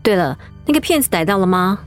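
A woman voicing a caring young niece in a radio drama, gentle and polite in her speech. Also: medium pitch, big pitch swings, fast, soft background music, lightly acted. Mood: concerned.

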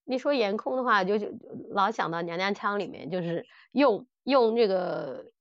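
A middle-aged woman co-hosting a podcast in casual chat, animated and blunt as she talks through audio dramas. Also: medium pitch, normal speed, no room sound, dull audio. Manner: animated and blunt, mimics characters' lines. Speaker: podcast host.

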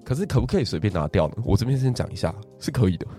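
A man with a Taiwanese Mandarin accent tells a royal history story on a podcast at a brisk clip. His pitch swings widely, and he keeps turning to his listeners with rhetorical questions. Soft music plays faintly underneath. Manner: animated, asks the audience rhetorical questions.

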